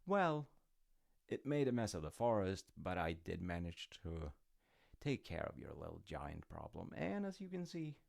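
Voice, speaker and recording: A man's voice: low voice, very animated, moderate pace, gravelly, darkish tone, heavily acted. A man voicing a boastful little tailor from a storybook, nonchalant and breezily unbothered. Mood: breezy, smug.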